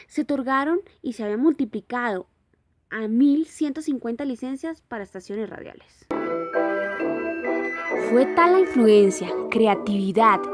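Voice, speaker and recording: A woman's voice: fairly high pitch, lively intonation, a little slow. A woman reading a scripted documentary narration in a formal manner. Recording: loud music behind the voice. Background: music.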